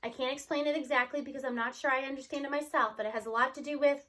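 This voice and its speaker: Woman as a firm, high-voiced male teacher. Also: steady tone, very fast, pushed and bright. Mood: reassuring. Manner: firm, declarative delivery. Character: veteran male schoolteacher, gruff but devoted to pupils.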